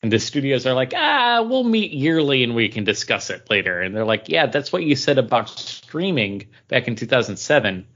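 A man co-hosting a podcast, talking through news casually and haltingly, often acting out imagined back-and-forth dialogue. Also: medium pitch, big pitch swings, fairly bright tone. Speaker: podcast co-host. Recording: dull, lo-fi podcast audio.

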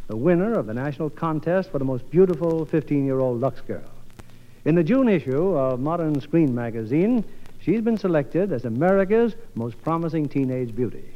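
A man hosting a 1950s radio show with formal, theatrical, polished scripted narration. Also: a little high. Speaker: radio show host and producer. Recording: muffled old radio broadcast, music.

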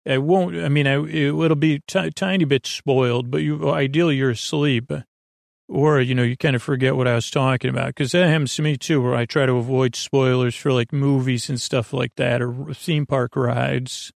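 Man, creaky gravelly voice, dry close sound, halting bedtime rambling, gently reassuring, sentences trailing off. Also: medium pitch, steady tone, no echo. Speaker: podcast host. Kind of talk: rambling bedtime sleep-podcast monologue.

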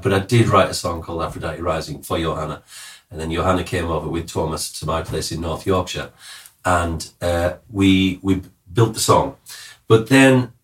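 A man's voice: sits low, distant mic. A man from the north of England with a Cumbrian accent, a musician guest telling stories in a casual interview, rambling with many fillers and jokes. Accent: Cumbrian English.

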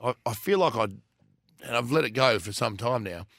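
A man trading casual banter and laughing often as a co-host. His voice is gravelly and bright. The recording is close and dry. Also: mid-range voice, lively intonation, normal speed, dry recording. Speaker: radio show co-host.

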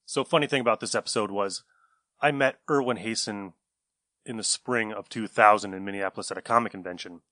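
A man's voice: fairly low pitch, brisk, pushed and bright, no echo. A man hosting a podcast, talking casually and offhandedly to his listeners. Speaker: podcast host. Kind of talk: casual chat.

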